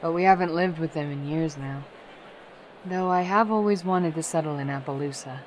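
A woman as a wary, very low-pitched mare. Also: normal speed, a little mellow, a little hiss or hum, close to an everyday voice, rough recording. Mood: matter-of-fact. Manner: dry, guarded, skeptical. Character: wary, protective older-sister pony on the rodeo circuit. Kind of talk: fan audio drama dialogue.

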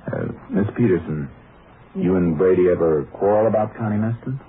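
An actor playing a calm, skeptical Texas Ranger in a 1950s radio drama, speaking in a dry, measured, deadpan way. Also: male voice, sits low, slight background noise. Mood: polite, probing. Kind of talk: 1950s radio drama acting, first-person narration and dialogue. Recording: muffled vintage radio recording with music.

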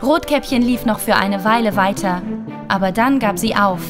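A woman's voice: mid-range voice, moderate pace, over music. A woman narrating a scripted children's fairy tale as a storyteller.

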